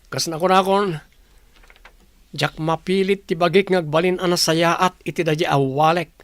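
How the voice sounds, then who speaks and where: A man's voice: fairly high pitch, steady tone, normal speed, fairly bright tone, no room sound, natural voice. A man acting an earnest young man in an Ilocano radio drama, with an Ilocano accent. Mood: intense, pleading.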